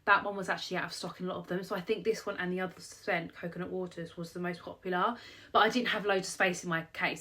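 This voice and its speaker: A woman's voice: lowish voice, a bit bright. Young British woman with a stuffy cold. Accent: British English. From England. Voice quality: slightly nasal, bunged up. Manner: chatty, rambling, many "um" and "like". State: blocked nose from a cold. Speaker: vlogger. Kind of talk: casual haul vlog talk to camera.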